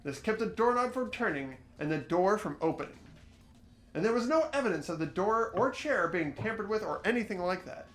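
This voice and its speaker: A high, lively male voice acted by a man as an earnest teenage sleuth in a visual novel game. He thinks aloud, reasoning carefully with some hesitation. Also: a little fast, roomy sound, faint music behind. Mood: thoughtful, deducing. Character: earnest, hesitant teenage boy amateur sleuth, narrating thoughts.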